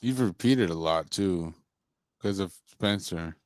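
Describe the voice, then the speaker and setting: A man's voice: deep voice, slow, no room sound, clean studio sound. A man with an American accent hosting a casual podcast, with teasing, exasperated banter. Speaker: podcast host. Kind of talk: casual chat.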